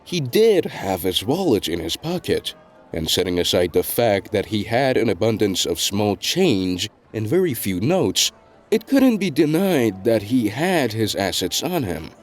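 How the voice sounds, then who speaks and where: A man reading scripted story narration in a measured, deliberate storytelling delivery. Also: lowish voice, big pitch swings, soft background music. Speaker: narrator of a web novel fandub.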